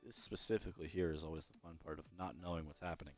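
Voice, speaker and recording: A young man casting an esports match alone, chatty and rambling, with his voice going hoarse. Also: very deep voice, steady tone, racing, darkish tone, faint music behind. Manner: rambling, self-correcting, chatty. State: losing his voice. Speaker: esports caster. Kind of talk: solo play-by-play commentary.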